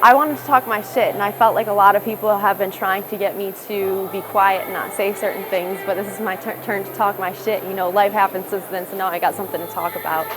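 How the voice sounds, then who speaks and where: A young woman with a thick Midwestern accent, a rapper speaking candidly in a documentary interview and often restarting her words. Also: medium pitch. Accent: Midwestern American. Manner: candid, reflective, self-correcting restarts. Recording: noticeable background noise.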